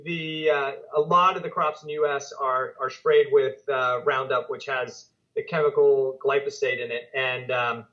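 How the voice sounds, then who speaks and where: A man hosting a podcast interview, explaining at length and affirming his guest with quick agreements. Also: medium pitch, steady tone, pushed and bright. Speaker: podcast host. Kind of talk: conversational interview. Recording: dull lo-fi audio.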